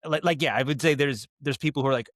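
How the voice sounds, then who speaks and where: A man's voice: mid-range voice, fast, a bit bright, no room sound. Man talking casually in dry close sound. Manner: rambling and thoughtful, circles back and rephrases. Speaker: podcast guest, writer and audio drama director. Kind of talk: casual interview talk.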